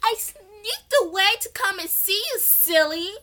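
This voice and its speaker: Woman playing a high, chirpy mischievous girl. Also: unhurried, sharp tone, heavily acted. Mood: playful. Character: bubbly, friendly, mischievous young girl apprentice.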